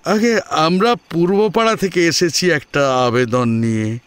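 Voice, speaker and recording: A man voicing a humble, deferential father who politely and haltingly pleads for a marriage match in an audio drama. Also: on the high side, a bit bright, clear, resonant tone, no room sound, voice pushed up. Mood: humble pleading.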